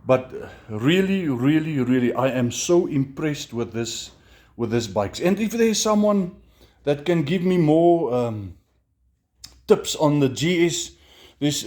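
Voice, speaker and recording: A middle-aged Namibian man with an Afrikaans accent, chatting casually in a self-made review, halting and repeating words with many fillers. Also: on the high side, a little mellow. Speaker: motorcycle vlogger.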